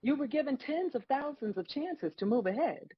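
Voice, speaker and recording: An older woman playing a folksy, unflappable female God in a comedy table read, husky, wry and matter-of-fact. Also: high voice, lively intonation, moderate pace, no room sound, lo-fi sound. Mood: disappointed, patient. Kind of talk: live table read acting.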